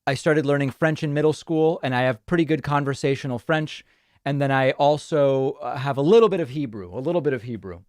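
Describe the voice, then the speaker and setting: A man's voice: medium pitch, brisk, no echo. A male talk-show host, whose first language is Spanish, reading viewer comments aloud and answering with dry, dismissive asides. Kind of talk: live-stream talk show chat.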